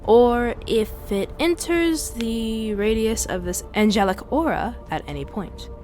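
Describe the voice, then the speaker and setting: A woman's voice: a little high, animated, unhurried, a little mellow. A woman playing a tabletop game, describing her character's moves. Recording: faint music bed under voice. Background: faint music.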